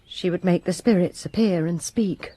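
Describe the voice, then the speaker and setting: A woman's voice: on the low side, moderate pace, mellow. A woman playing a resentful lady's maid who gives her testimony with bitter emphasis in a radio drama.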